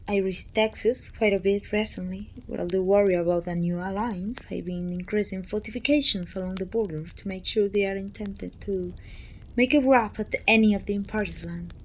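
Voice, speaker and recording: A woman playing a pompous, self-pitying evil queen who talks down to her servant in an intimate, breathy ASMR roleplay, often dropping toward a whisper. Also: medium pitch, a little mellow, close to an everyday voice, low-quality recording. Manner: pompous, petulant, theatrical sighing complaints.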